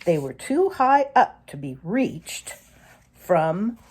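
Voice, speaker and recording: A woman narrates a children's story in an expressive read-aloud style. Her pitch moves widely, sits a little low, and she takes her time over the words. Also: slow, breathy edge. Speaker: storybook reader.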